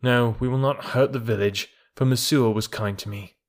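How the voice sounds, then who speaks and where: A man's voice: medium pitch, steady tone, moderate pace, natural voice. A man voicing a proud, scornful herdsboy raised by wolves in a storybook reading, assured and archaic in wording. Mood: calm, defiant.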